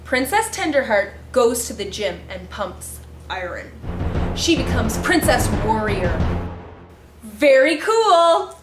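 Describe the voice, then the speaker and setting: Woman doing a girly dramatic storybook read aloud, high voice, super swoopy, roomy echo. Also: slow pace. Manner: expressive, girlish storytelling with exaggerated reactions. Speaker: teacher reading a picture book aloud. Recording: roomy echo with faint music.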